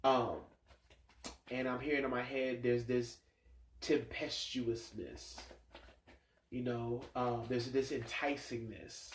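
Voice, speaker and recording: A man's voice: mid-range voice, flat delivery, slow pace, long pauses, slight background noise. A man with a stuffed-up nose giving a casual tarot reading, musing aloud and rambling with many fillers. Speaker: tarot reader. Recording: echoey room sound.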